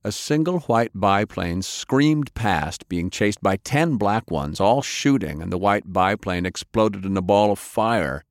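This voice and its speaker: Low-voiced male audiobook narrator. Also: lively intonation, a little slow, few pauses, no room sound, clean studio sound. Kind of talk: dramatic scripted narration of action fiction.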